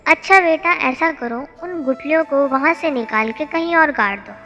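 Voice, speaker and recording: कहानी में एक महिला धैर्यवान, स्नेही बुज़ुर्ग गुरुजी का किरदार बोल रही है, जो पिता की तरह ठहरकर समझाते हैं।. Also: female voice, high-pitched, normal speed, pushed and bright, highs cut off. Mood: playfully insistent. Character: patient, gentle old male guru teaching a lesson. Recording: long hall reverb, music bed.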